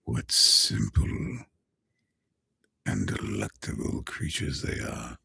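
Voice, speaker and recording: A man voicing an ancient death-like entity who scorns frail humans, speaking in slow, hushed, savoring whispers. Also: a bass voice, big pitch swings, vocal fry, slightly rough, no echo, voice pushed down, exaggerated voice. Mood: gloating menace. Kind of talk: dark villain monologue.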